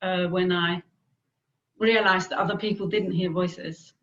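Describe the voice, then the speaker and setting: A woman from Denmark with a Danish accent, answering interview questions in a thoughtful way with frequent pauses and fillers. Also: fairly low pitch, fairly even intonation, a bit bright, lo-fi sound. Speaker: guest, peer expert on hearing voices.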